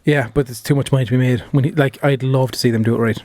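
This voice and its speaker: Fast-talking man, dry sound. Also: mid-range voice, fairly even intonation, darkish tone, no echo. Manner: quick, chatty, quotes others with a laugh. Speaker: podcast co-host. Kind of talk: casual chat.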